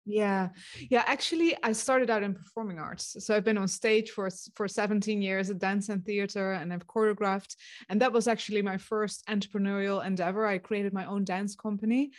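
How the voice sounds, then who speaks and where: A middle-aged woman from the Netherlands with a Dutch accent, talking earnestly as an interview guest, often pausing with fillers and restarting her sentences. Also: mid-range voice, fairly even intonation. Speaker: guest, success coach. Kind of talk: conversational interview.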